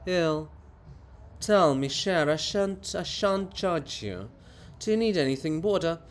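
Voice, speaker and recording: A man voicing a living monument, a patient, gently needling friend, soft-spoken and measured in a theatrical reading. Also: on the high side, animated. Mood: concerned, coaxing. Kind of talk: theatrical dramatic reading of a farce.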